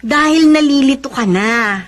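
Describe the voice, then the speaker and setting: A woman's voice: high-pitched, unhurried. A Filipino woman playing a teasing, giddy young best friend in a radio drama, gushing, slangy and playful.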